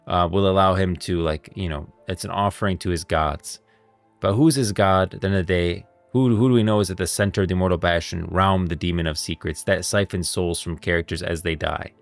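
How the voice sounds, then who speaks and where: Male streamer, low and creaky voice, enthusiastic, emphatic, off-the-cuff lore commentary. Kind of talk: casual livestream game lore commentary. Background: music.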